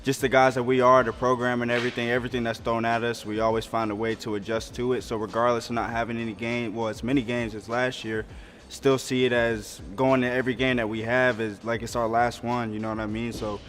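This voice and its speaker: A young athlete speaks in a flat, even tone, with little rise and fall. He talks matter-of-factly and keeps checking in with his listener. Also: mid-range voice, a little fast. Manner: matter-of-fact, says "you know what I mean". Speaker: college athlete. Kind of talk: recorded player interview.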